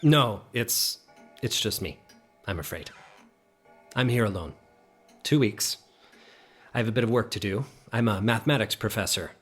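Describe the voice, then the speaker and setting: An actor playing a fearful, solitary male professor who narrates an audio drama in a grave, hushed, deliberate way. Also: on the low side, slightly rough, faint music behind. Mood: reserved, polite. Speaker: narrator and lead character.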